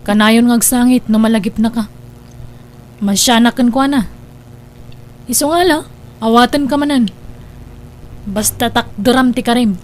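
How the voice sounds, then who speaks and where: A weary pregnant wife, played by a woman speaks in a fairly high voice, tired and measured. A faint hum sits behind her lines. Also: close to an everyday voice. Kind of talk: Ilocano audio drama acting.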